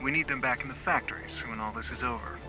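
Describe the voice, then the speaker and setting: Actor as a cool, sardonic military commander. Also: male voice, medium pitch, over loud music, lightly acted, rough recording. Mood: coolly instructing. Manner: measured, dry, detached, sardonic. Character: cool, cynical male military intelligence superior briefing troops. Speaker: mission commander. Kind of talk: video game cutscene acting.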